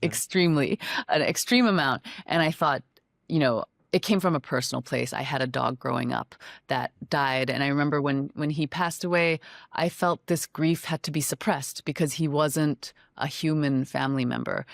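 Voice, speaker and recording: A woman from Hong Kong, a documentary filmmaker, answering interview questions in a thoughtful, measured way. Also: deep voice, gravelly, no room sound, studio quality. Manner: thoughtful, measured, frequent "you know".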